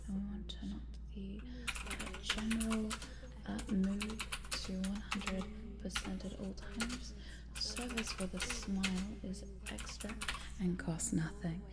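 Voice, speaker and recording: A woman playing a cute but sinister scientist in an ASMR roleplay, coaxing in a soft, breathy whisper. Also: medium pitch, steady tone, a little slow, very noisy, natural voice. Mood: busy, cheerful. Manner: hushed, coaxing, murmuring, giggly asides. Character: cute sinister lady scientist, chatty, coaxing, quietly gleeful.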